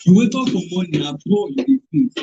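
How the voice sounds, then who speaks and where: A man's voice: sits high, expressive, fairly quick, mellow, lo-fi sound. An actor playing a bossy, irritable young man in an audio drama, scolding in Nigerian Pidgin English with a repetitive, exclamatory manner. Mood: irritated, scolding. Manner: exclamatory, scolding, repeats himself.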